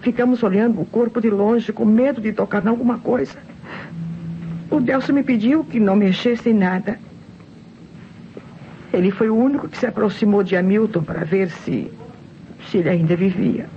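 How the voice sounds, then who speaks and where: Woman acting a distressed Brazilian widowed housewife, dramatic and halting, middling pitch, old radio drama, muffled recording. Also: slight background noise, natural voice. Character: distressed widowed housewife and mother testifying to police.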